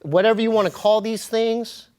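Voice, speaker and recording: A man telling personal stories as an interview guest, in an informal, slangy way with halting, repeated phrases. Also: high voice, fairly even intonation, darkish tone, smooth. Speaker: interview guest, comedian and podcast host. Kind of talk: casual animated storytelling.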